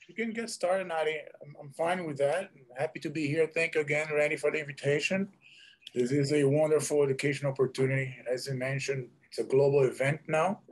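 A man's voice: a little high, steady tone, brisk. Polite man, guest speaker, brief courteous replies on a call.

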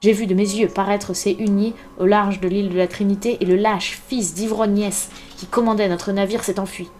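A woman voicing a haughty, excitable country squire in a storybook, exclaiming in grand, indignant phrases. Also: medium pitch, normal speed, a little hiss or hum, lightly acted. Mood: indignant awe.

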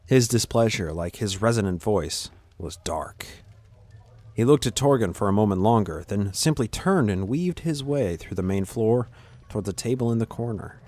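A man narrating scripted fantasy fiction in a measured, dramatic storytelling manner with deliberate pauses. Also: on the low side, animated, darkish tone, no echo.

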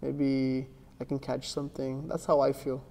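Young male athlete, relaxed and halting, flat gravelly delivery, roomy gym reverb. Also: mid-range voice. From born in Miami, raised in Ecuador and Texas. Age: young adult. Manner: soft-spoken, relaxed, with frequent "like" and restarts. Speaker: interview guest, jiu-jitsu athlete. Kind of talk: casual interview answers. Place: gym with large windows, indoors. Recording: long reverb from a large room.

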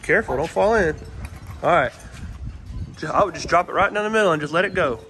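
A man's voice: a little high, fast talker, pushed and bright. A father filming on his phone at an outdoor lake dock, patiently coaching and encouraging as he gives instructions. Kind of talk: casual instructions while filming. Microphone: phone held while filming. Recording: phone audio with clear wind noise outdoors.

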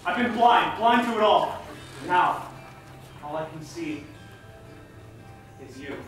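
A man's voice: sits high, lively intonation, slow, fairly bright tone, faint music behind, heavily acted. A young man acting an earnest, melodramatic lover in a stage comedy, theatrical in delivery. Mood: lovestruck, earnest. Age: young adult. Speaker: student stage actor. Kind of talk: theatrical romantic monologue. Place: large theatre, indoors. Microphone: far camera. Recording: echoey theatre from far off, noisy.

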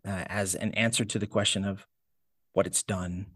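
A man's voice: deep voice, fairly even intonation, normal speed, fairly bright tone, slightly rough, dry recording. Reflective man, dry close mic. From United States. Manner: thoughtful, searching, many restarts. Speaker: guest, homesteader and teacher. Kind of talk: reflective interview answers.

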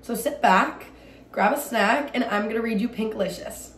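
A woman's voice: on the high side, moderate pace. A young adult woman reading a children's story aloud expressively, recorded indoors at home a little way from the microphone. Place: small indoor room at home. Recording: roomy echo, light background noise.

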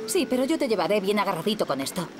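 A woman's voice: medium pitch, big pitch swings, racing, over music, close to an everyday voice. A woman voicing a patient, reassuring young mother in a children's cartoon, with a Castilian Spanish accent. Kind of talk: children's cartoon dub acting.

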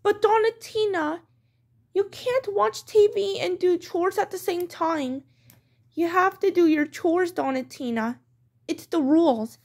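A woman's voice: very high voice, unhurried, darkish tone. A woman playing a strict mother who lays down rules in a firm, scolding way, in a homemade pretend-play skit. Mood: stern.

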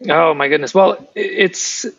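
A man's voice: fairly high pitch, measured pace, fairly bright tone, a little hiss or hum. A man from San Francisco whose first language was Russian, chatting as an interview guest in a rambling way. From San Francisco, United States. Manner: chatty, rambling, restarts sentences. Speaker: guest author and translator. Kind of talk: casual interview talk. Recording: dry, dull lo-fi sound.

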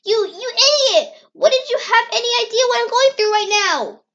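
A very high, emotional cartoon fox boy acted out by a woman, earnest and anguished. The voice sits in a dull, lo-fi comic dub recording. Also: lively intonation, normal speed, a bit bright, exaggerated voice. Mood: furious. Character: anguished young male giant fox, emotional and self-blaming.

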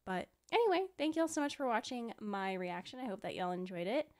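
A woman's voice: a little high, sing-song, fairly quick. A tired young woman with a slight lisp, chatting casually as she streams a reaction at a close desk microphone. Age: young adult. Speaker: streamer reacting to game trailers. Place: small room at home. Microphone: close desk microphone with headset.

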